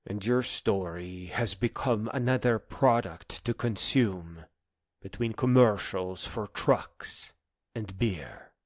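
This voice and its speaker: Man, spoken-word monologue, slow delivery, dramatic, earnest, emphatic, dry close sound. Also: lowish voice, no room sound. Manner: earnest, emphatic, swelling from hushed phrases to insistent repetition.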